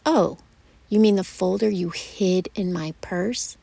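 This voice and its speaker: A woman voicing a fussy, bossy older mother who nags and argues indignantly, in a whispery, gravelly storytelling voice. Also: medium pitch, dark tone, no echo. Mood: teasing. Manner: nagging, indignant, quick to argue.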